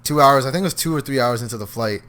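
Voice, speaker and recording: A young man co-hosts a laid-back podcast and tells stories with lots of slang. He laughs often and gets loud and animated at the punchlines. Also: medium pitch, very fast. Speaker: podcast co-host. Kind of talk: casual chat.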